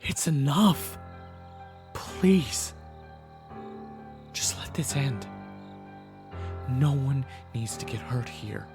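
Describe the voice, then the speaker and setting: A man's voice: medium pitch, expressive, very slow, long pauses. Man acting an earnest fantasy hero over music. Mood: desperate, pleading. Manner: earnest, emotive. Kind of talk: audio drama acting and narration.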